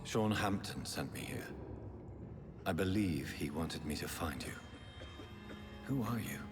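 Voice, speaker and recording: A young vampire doctor for a video game. His low voice moves expressively as he probes in formal phrases, ringing in a long hall-like reverb over music. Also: expressive. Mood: wary. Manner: formal, measured, probing. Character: earnest young vampire doctor, newly turned. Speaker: lead character. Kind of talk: dramatic video game voice acting.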